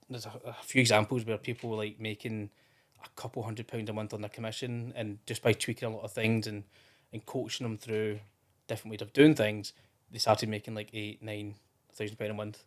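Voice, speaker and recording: Rambling Scottish man, low voice. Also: flat delivery, a little fast. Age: 30s. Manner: chatty and rambling, with frequent restarts and fillers. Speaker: podcast guest. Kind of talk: casual interview chat.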